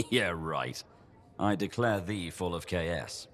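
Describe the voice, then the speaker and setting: A teasing roguish traveler. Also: male voice, sits low, animated, slow pace, fairly bright tone, heavily acted. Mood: playful. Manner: joking, teasing, theatrical. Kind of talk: video game cutscene acting.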